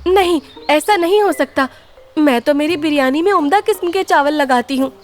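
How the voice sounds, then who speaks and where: A woman's voice: sits high, moderate pace, voice pushed up, close to an everyday voice. A woman voicing an honest, anxious young woman food seller in a cartoon story, with an earnest, pleading manner. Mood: defensive.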